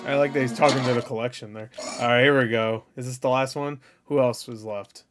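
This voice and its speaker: A man giving casual, profane, joking reaction commentary in an animated way. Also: mid-range voice, a little fast. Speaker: reaction video host. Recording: game audio playing behind voice. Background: video game dialogue and music.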